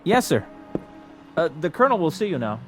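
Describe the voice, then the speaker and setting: An actor playing a nervous, deferential young army orderly, eager and uncertain, in a radio drama. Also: male voice, on the high side, big pitch swings, a little fast, soft background music. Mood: flustered.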